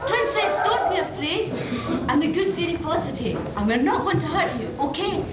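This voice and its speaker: A very high-voiced Scottish good fairy acted by a woman, cheery and bustling in broad pantomime style. The voice carries from a distance in an echoey hall with a loud audience. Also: expressive, moderate pace, over a noisy crowd. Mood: gentle, reassuring. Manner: exclamatory, broad stage delivery. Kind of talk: amateur stage pantomime acting. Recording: echoey 1980s hall video, distant, music, noisy audience.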